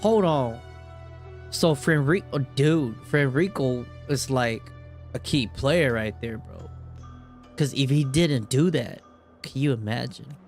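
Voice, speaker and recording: Male gaming streamer, average register, relaxed slang-heavy live reactions with swearing, faint music underneath. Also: medium pitch, slow. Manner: slangy, sweary, laid-back. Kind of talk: casual live reaction commentary. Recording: game music behind the voice.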